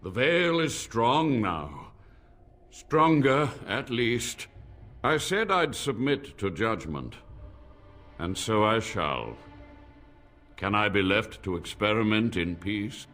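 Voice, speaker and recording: An actor playing an aged male mage, weary and stubborn, speaking gravely. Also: fairly low pitch, big pitch swings, takes it slowly, long pauses, fairly bright tone, soft background music, heavily acted. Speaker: game character. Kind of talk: game voice acting.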